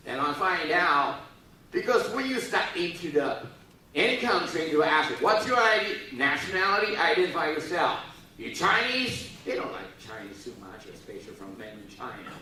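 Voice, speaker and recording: A man's voice: a little high, sing-song, normal speed, pushed and bright, a little hiss or hum. A middle-aged man giving a joking speech to a live audience at a youth forum, playfully teasing the crowd and prodding them to respond. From Taiwan. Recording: echoey hall, voice from far off.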